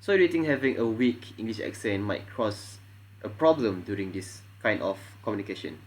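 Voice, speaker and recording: A young man from Malaysia interviewing a classmate for a class presentation, soft-spoken, with frequent quiet acknowledgements. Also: on the low side, expressive, brisk. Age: young adult. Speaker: student interviewer.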